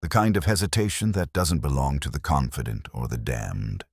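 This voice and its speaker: A man narrating a scripted first-person detective story in a measured, literary, brooding way. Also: rumbling low, slightly rough, dry recording, clean studio sound.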